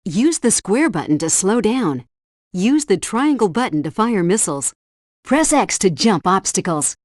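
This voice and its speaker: A helpful female helpdesk guide in a video game. She delivers scripted tutorial instructions with precise, instructive diction at a medium pitch. The sound is close and dry. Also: sing-song, measured pace, darkish tone, dry recording.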